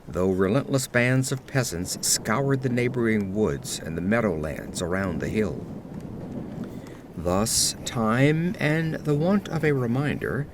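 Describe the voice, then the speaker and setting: A man narrating a gothic horror tale from a script in a measured, solemn storyteller's manner. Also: mid-range voice, a little hiss or hum. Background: atmospheric ambience.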